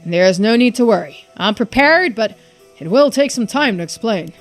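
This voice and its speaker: A woman voicing an excitable, theatrical curse-bearer in a video game, with dramatic, emphatic declarations. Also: fairly high pitch, moderate pace, faint music behind. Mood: intense, proud.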